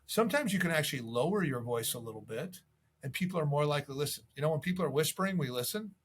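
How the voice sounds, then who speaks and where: A man's voice: a little high, lively intonation, fast, husky. A man giving instructional sales tips as a coach, in a conversational manner. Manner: conversational, acts out sample calls. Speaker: real estate sales coach.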